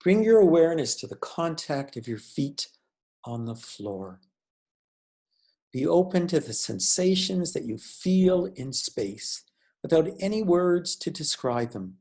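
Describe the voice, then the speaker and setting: A man leading a guided meditation as a teacher, speaking in a measured, deliberate way with pauses between phrases. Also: a little high, big pitch swings, darkish tone.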